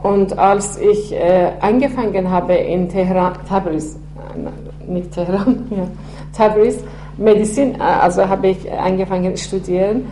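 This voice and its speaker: A woman in her sixties from Iran, speaking German with an Iranian accent, telling her life story haltingly with many fillers. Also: mid-range voice, dark tone. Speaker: interviewee. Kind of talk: personal testimony. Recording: echoey room with faint hum.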